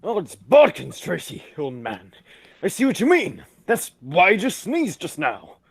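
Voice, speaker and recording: An actor playing a fussy, pompous old-fashioned gentleman sidekick in a 1940s-style radio play, with affected exclamations. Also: sits high, huge pitch swings, vocal fry, slightly rough, dry recording, heavily acted. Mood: flustered. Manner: affected, pompous, drawn-out delivery.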